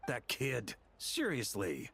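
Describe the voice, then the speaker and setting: A man playing a world-weary commander of knights in a video game, measured, sardonic and theatrical. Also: mid-range voice, wildly swooping pitch, gravelly, a bit bright, slight background noise, exaggerated voice. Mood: commanding.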